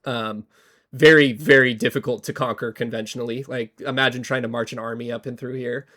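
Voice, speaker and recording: A man co-hosting a podcast, slightly hoarse from a cold, talking casually with lots of fillers and restarts. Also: medium pitch, steady tone, fairly quick, bright, forceful tone. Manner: rambling, many fillers and restarts. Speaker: podcast co-host. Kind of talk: casual history chat.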